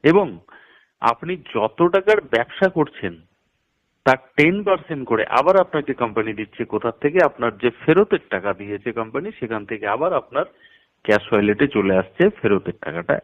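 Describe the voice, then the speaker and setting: A man's voice: mid-range voice, animated, a little fast. A man explaining a business plan step by step over a phone call, like a promoter instructing a prospect and stressing the figures. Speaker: network marketing promoter. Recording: dull, lo-fi phone call audio.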